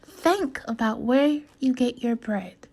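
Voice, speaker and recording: A woman with a fairly high voice reads a children's story at a slow pace. Her delivery is deliberate and instructive, like a teacher guiding young listeners through a lesson and putting questions to them. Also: a little mellow, slightly rough. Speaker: teacher reading aloud to children. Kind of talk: slow storybook read-aloud and lesson for kids.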